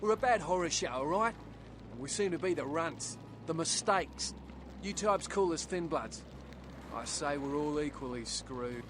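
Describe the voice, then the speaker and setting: A man's voice: on the high side, expressive, measured pace, background noise. A man playing a young surfer turned outcast vampire in a video game, wistful and bitter, with a folksy, plain-spoken manner. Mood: weary, bitter. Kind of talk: video game dialogue acting.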